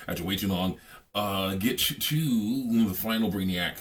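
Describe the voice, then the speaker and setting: Low-voiced man giving rambling game commentary. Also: measured pace. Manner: rambling, repetitive, exasperated critique. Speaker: reaction video host. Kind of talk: casual game cutscene commentary.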